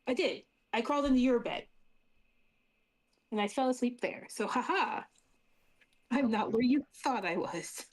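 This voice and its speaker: Playful higher-voiced woman gamer. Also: lively intonation, measured pace, pauses often. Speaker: player in a tabletop role-playing game. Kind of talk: casual improvised game chat.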